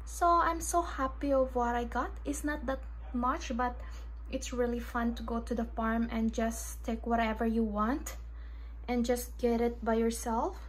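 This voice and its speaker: A woman's voice: fairly high pitch, little pitch movement, measured pace, a little hiss or hum, low-quality recording. A woman in her thirties vlogging in simple, non-native English, cheerful and chatty, filming on a phone she holds. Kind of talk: casual vlog chat. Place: outdoors on a vegetable farm, then a home kitchen. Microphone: phone camera in hand.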